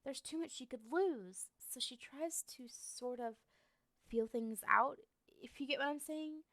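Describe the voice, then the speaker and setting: A woman playing a coy lovestruck girl, high-voiced. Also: unhurried, pushed and bright, no room sound, clean studio sound, full character voice. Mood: lovesick, exasperated. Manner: coy, rambling, hesitant, with pointed emphasis. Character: lovestruck young woman nervously hinting a confession, obsessive. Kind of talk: ASMR roleplay addressed to the listener.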